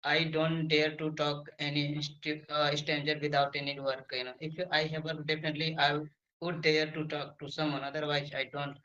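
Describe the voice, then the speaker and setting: A man's voice: a little high, flat delivery, bright, forceful tone. Shy man, hesitant Indian English. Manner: shy, hesitant, nervous laughter, halting speech. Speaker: English learner. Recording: slightly muffled audio.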